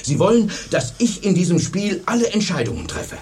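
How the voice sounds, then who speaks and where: Male schoolteacher, fascinated and earnest, animated delivery, radio play with faint background noise. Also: high-pitched, a little fast. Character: fascinated, self-absorbed male schoolteacher talking to his wife. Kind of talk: German radio drama acting.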